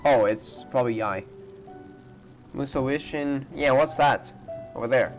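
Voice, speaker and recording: A man comments casually on a game as he plays, in a mid-pitched voice. He thinks aloud in rambling asides, and faint music plays behind him. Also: a little slow. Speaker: gamer reacting to a story playthrough. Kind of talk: casual playthrough reaction commentary.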